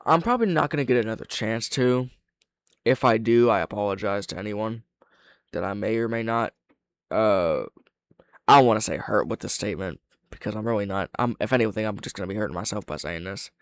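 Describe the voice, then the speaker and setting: A man's voice: on the low side, brisk, no room sound, studio quality. A man reacting off the cuff as a reviewer, blunt and opinionated, swearing freely as he talks. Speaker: reaction host and video reviewer. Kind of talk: casual off-the-cuff reaction commentary.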